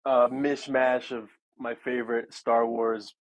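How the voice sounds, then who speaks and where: A man's voice: mid-range voice, flat delivery, takes it slowly, low-quality recording. A man of thirty-one, an architect guest in a casual interview, talking in a chatty, rambling way full of "like" and "um". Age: 30s.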